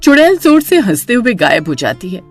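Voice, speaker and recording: A woman's voice: mid-range voice, sing-song, moderate pace, slightly rough, soft background music. A woman narrator reading a scripted horror story.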